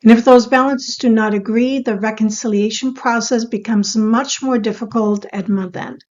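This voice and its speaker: Higher-pitched woman narrating a scripted lesson. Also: steady tone, darkish tone, dull audio. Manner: measured, instructional, step-by-step. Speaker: accounting instructor.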